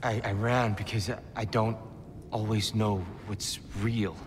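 Actor as a frightened low-voiced broken war god. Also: male voice, flat delivery, background noise, lightly acted. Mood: hesitant, wary. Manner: halting, pleading, timid. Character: broken, frightened, gentle war god turned pacifist, pleading. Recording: game cutscene audio with music behind.

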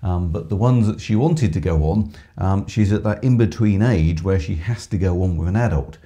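A middle-aged man with a hoarse voice, chatting on a live stream from a small music shop studio, reading out viewer comments with lots of ums. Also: sits low, normal speed, dark tone. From England. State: hoarse from screaming. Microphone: headset with microphone.

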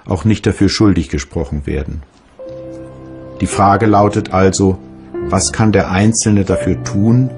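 An actor playing a reflective German physicist reading his memoirs in a husky, measured, philosophical way. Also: deep voice, a little mellow, exaggerated voice. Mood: reflective, earnest.

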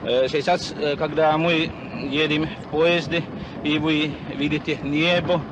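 A middle-aged man asking formal, halting questions as a television interviewer. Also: fairly even intonation, muffled recording. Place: small train compartment. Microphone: shared handheld microphone. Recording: noisy 1960s archival film sound, train rumble.

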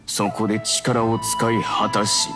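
A man playing a solemn narrator of an old legend in a video game, grave and deliberate, with archaic phrasing. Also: on the low side, level tone, music in the background. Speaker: story narrator.

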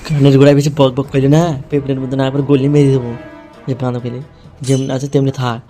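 A man's voice: medium pitch, flat delivery, fast talker, dark tone, faint music behind, close to an everyday voice. A man playing a threatening, foul-mouthed gangster kidnapper in an amateur comedy cartoon, blunt and bullying. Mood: bossy.

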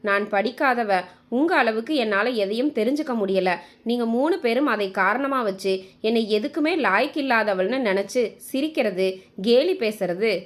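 A woman's voice: on the high side, animated, moderate pace, lightly acted. A woman voicing a hurt, loving middle-aged mother with little schooling, plaintive and apologetic, in a narrated story. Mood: tearful hurt.

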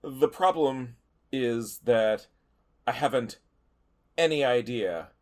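Dry, halting male game player. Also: medium pitch. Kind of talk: casual in-game improvised chat.

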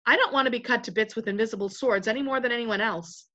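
A woman's voice: fairly high pitch, fast, pushed and bright, lo-fi sound. A woman voicing a brave, plain-speaking young girl with an earnest, questioning manner in a storybook. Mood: resolute.

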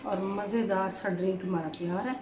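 A woman's voice: mid-range voice, fairly even intonation, brisk, background noise. Chatty woman demonstrating a recipe at home. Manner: chatty, keeps inviting viewers to look. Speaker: cooking channel host. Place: home kitchen, indoors. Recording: home kitchen with utensil clatter. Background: clattering dishes and utensils.

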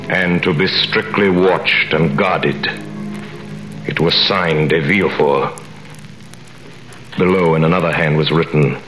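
A man narrating a 1930s radio drama in a grave, measured way. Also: deep voice. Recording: echoey old radio recording with music.

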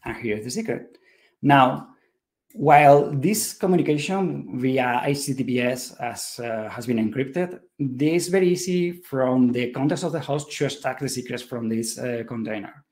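A man's voice: mid-range voice, moderate pace, darkish tone. Hesitant man giving a technical talk. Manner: hesitant, many fillers and restarts. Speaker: conference presenter. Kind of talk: technical talk with live demo.

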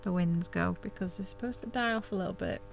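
A woman's voice: mid-range voice, quick pace, vocal fry, slight background noise. Stuffy woman vlogging from her camper van. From United Kingdom. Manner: chatty, laughs often, coos at her dogs. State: stuffy nose and sore throat. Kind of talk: casual vlog chat to camera. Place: inside a small camper van and awning.

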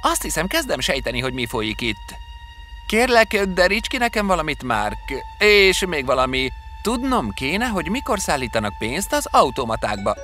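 An actor voicing a self-assured young boy detective in an animated story, explanatory and commanding. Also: on the high side, faint music behind. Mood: intent.

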